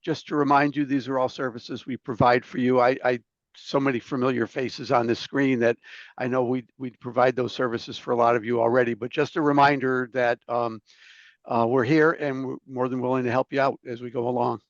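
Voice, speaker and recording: A man's voice: medium pitch, little pitch movement, fairly quick, no echo. A man on staff at an association, making an informal, easygoing announcement during an online meeting. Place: video call. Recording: dull, lo-fi video-call audio.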